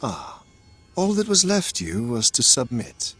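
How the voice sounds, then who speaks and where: A man's voice: medium pitch, sing-song, slow pace, bright, forceful tone, slightly rough, faint music behind, heavily acted. An actor playing a mocking, theatrical villain in a video game, condescending and archaic in his phrasing. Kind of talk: video game cutscene acting.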